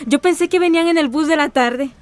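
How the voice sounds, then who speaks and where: High-voiced earnest young woman played by an actress. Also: fast, natural voice. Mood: warm, welcoming. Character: earnest young woman, affectionate, wistful, easily hurt daughter. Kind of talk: radio drama acting.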